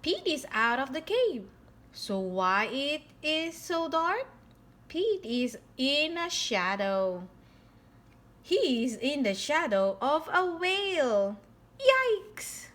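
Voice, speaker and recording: A woman reading a picture book aloud to young children in an expressive style, with theatrical emphasis on key words. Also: high voice, slow pace. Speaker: children's storybook reader.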